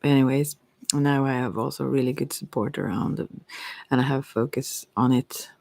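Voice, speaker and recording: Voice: mid-range voice, normal speed, gravelly, a little mellow, no room sound. An ASMR host with a voice of unclear sex, talking in a hesitant, meandering, reflective way. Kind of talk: ASMR, rambling personal talk.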